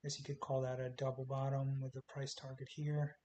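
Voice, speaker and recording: A man talking casually through stock charts in short, clipped calls, his voice going hoarse as he says he is losing it. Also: mid-range voice, completely flat delivery, brisk, dark tone. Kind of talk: casual screen-share chart commentary. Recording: faint hiss.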